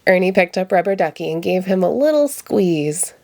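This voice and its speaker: Animated, mellow woman reading a storybook. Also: fairly low pitch, moderate pace, no room sound. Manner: expressive storytelling. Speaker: storybook reader. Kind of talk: children's storybook read-aloud.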